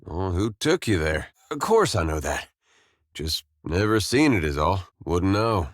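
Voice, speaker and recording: Laid-back wry farmer adventurer for a video game, folksy, lowish voice, real dry close recording. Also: male voice, very animated, a little slow, dry recording. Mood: friendly, wry. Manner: folksy, laid-back, understated, drawling short clipped phrases. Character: easygoing, wry, war-weary farmer adventurer, grown man. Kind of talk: video game companion dialogue.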